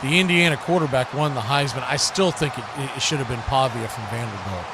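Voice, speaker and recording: An American man doing live football play-by-play commentary, conversational between snaps and animated on big plays. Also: mid-range voice, brisk, noisy background. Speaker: play-by-play sportscaster.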